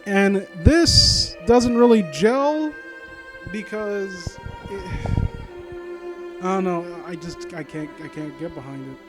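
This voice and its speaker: A man's voice: sits high, slow pace, low-quality recording. A man giving casual, blunt commentary as he reads and critiques a story, often stammering and rephrasing, with some swearing. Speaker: host of a story critique series. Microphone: new handheld microphone, uneven distance, voice drifts soft. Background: music in the background.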